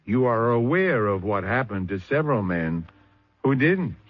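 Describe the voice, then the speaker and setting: An actor playing a knowing, sardonic host of a suspense radio drama, narrating with deliberate dramatic pauses. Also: male voice, medium pitch, expressive, no echo, lightly acted. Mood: ominous, knowing. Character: ominous, knowing male mystery host. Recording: dull old radio recording. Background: faint music.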